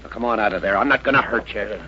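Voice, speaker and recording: A man's voice: on the low side, fast, close to an everyday voice. An American man playing a hot-headed, pushy tough guy in an old-time radio crime drama. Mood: agitated. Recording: dull noisy old radio recording.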